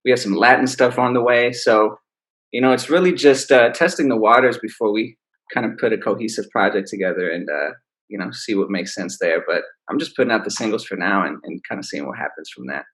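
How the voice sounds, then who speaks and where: A man, an R&B singer, answering interview questions in a relaxed, easygoing way. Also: lowish voice, brisk. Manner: relaxed, laid-back explaining. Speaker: R&B singer, guest. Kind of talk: casual interview.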